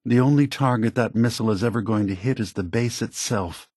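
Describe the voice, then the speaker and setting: An actor playing a calm, weary, philosophical android leader in a video game, speaking in a measured, gentle way with a husky voice. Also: male voice, mid-range voice, dry recording, studio quality, close to an everyday voice. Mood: grave, earnest. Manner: measured, deliberate, gentle, reflective.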